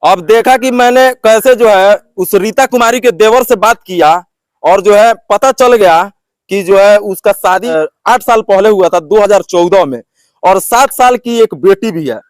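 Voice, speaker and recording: A man hosts an interview and commentary vlog in a notably high voice. The sound is dry, with no room on it. His phrasing is halting and circles back on itself with recurring filler phrases. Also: no room sound. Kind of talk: phone interview and opinion commentary to viewers.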